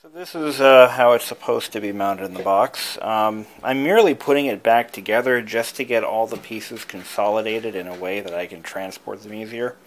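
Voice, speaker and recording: A man giving a casual, unscripted show-and-tell as a hobbyist, thinking aloud in a musing, halting way. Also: fairly low pitch, poor audio. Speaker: hobbyist showing an old device he is restoring.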